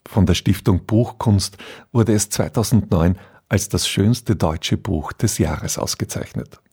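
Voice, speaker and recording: A man's voice: sits low, a little slow, a little mellow, slightly rough. A man presenting a scripted introduction to a radio drama.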